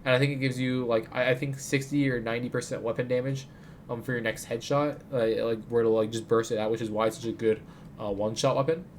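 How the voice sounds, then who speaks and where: A man's voice: medium pitch, steady tone, fast, a little hiss or hum. A man with a stuffy nose from a cold, giving casual game guide commentary and rambling with many fillers and restarts. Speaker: gaming video creator.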